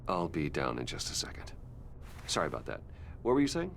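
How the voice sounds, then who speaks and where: An actor playing a guarded, wealthy businessman in a video game, courteous and measured but defensive. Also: very deep voice, huge pitch swings, creaky, slight background noise, full character voice. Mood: polite, distracted. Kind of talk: video game dialogue acting.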